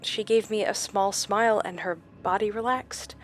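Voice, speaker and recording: An actress playing a shaken woman recounting her captivity in a horror audio drama, halting and dramatic with deliberate pauses. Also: mid-range voice, fairly even intonation, slight background noise, natural voice. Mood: tense, haunted. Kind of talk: first-person horror audio drama narration.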